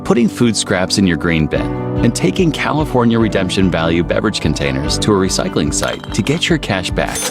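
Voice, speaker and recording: A man's voice: sits low, big pitch swings, a little fast, creaky. A man with an American accent reading a scripted public service announcement for radio. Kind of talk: scripted narration. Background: loud music with guitar.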